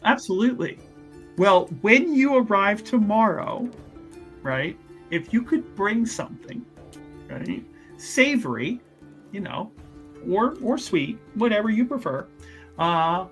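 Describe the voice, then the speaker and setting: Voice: mid-range voice, very animated, takes it slowly, pauses often, faint music behind. A person improvising in character at a tabletop game, hesitant and wheedling, often repeating phrases. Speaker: tabletop roleplaying performer.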